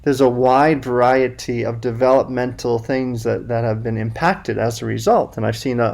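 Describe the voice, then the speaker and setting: A man's voice: medium pitch, creaky. A man speaking as a podcast guest and men's coach, musing thoughtfully in casual conversation with spiritual wording.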